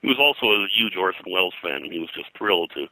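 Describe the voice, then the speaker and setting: A man's voice: sits low, lively intonation, fast, pushed and bright, no room sound, low-quality recording. An older man being interviewed as a historian, telling anecdotes in a rambling, hesitant way full of digressions. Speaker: pop culture historian, interview guest.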